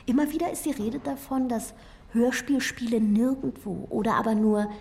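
Creaky, mellow woman narrator. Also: a little high, moderate pace. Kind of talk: scripted essayistic radio narration.

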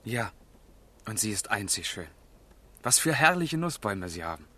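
A man's voice: on the low side, big pitch swings, normal speed, bright, forceful tone, husky, exaggerated voice. An actor playing an ardent, lovestruck young man in a German literary radio drama, speaking in an emotional, poetic way. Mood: polite, candid. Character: ardent young romantic man, lovestruck, melancholic letter writer.

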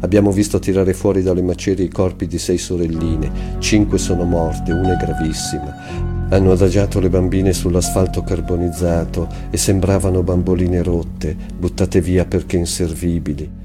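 A man's voice: low-pitched, little pitch movement, moderate pace. A man giving a literary reading of a first-person diary. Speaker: reader. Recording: clear music behind the voice. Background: music.

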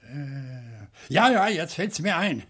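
An actor playing a courteous, bookish professor in a German radio crime play, speaking precisely and deliberately. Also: male voice, fairly high pitch, very animated, a bit bright, slightly rough, exaggerated voice. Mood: polite, measured. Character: courteous, bookish professor, a night owl with a dog.

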